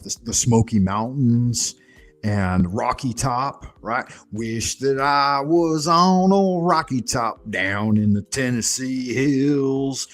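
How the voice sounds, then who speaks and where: Slow-talking man in casual, rambling chat. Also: medium pitch. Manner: rambling, casual, swears freely. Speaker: streamer host.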